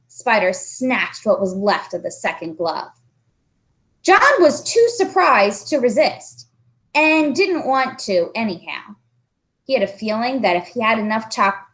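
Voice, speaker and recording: High, animated woman reading a story. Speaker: storybook reader. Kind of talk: expressive children's read-aloud narration.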